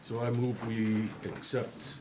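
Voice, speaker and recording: A man on a town planning board, making formal motions at a public meeting in a slow, measured way. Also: lowish voice, little pitch movement, background noise.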